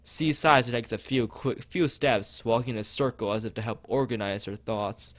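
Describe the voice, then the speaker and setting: A man's voice: medium pitch, normal speed, no echo. A man streaming as he reads a visual novel aloud and chats with viewers, often stumbling and rereading phrases. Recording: background music behind voice.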